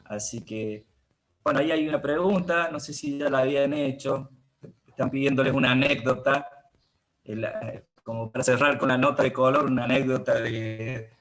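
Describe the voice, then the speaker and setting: An Argentine man with a mid-pitched voice moderates an online talk. He speaks informally and haltingly, often pausing to search for his next word. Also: a bit bright. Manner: hesitant, halting, searching for words. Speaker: host of an online theatre talk. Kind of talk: informal spoken closing remarks and moderation.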